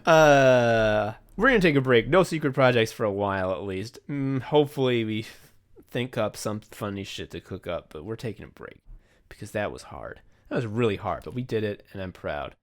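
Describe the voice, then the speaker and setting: Male podcast co-host, lower-pitched, loose conversational delivery, freely profane. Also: lively intonation, normal speed. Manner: frequent casual swearing. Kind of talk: casual behind-the-scenes podcast chat.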